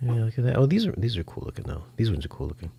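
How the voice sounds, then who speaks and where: A man's voice: lowish voice, big pitch swings, rapid-fire, gravelly, mellow, slightly rough. A man hosting a casual interview, speaking informally in short reactions and questions. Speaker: host.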